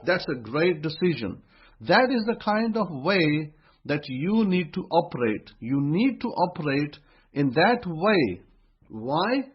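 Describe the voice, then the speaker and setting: Male teacher, husky and a bit high-pitched, repetitive and emphatic, dull lo-fi sound. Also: big pitch swings, takes it slowly. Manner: emphatic, repeats phrases while building a point. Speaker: religious teacher. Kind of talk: motivational religious talk. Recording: dull lo-fi recording.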